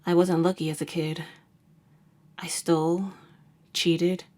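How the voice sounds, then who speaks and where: A woman's voice: lowish voice, little pitch movement, a little mellow. A woman playing a jaded, sardonic vampire hunter who works as a nurse, talking in a wry, slangy way in an ASMR roleplay. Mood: wry, confessional. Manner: wry, casual, slangy, unhurried. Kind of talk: ASMR roleplay audio drama.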